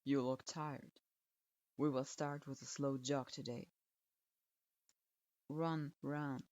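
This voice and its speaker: A woman's voice: extremely low pitch, fairly even intonation, slow, darkish tone, voice pushed down. A woman voicing a stern, cold male ninja teacher who gives curt, clipped commands. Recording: dull lo-fi.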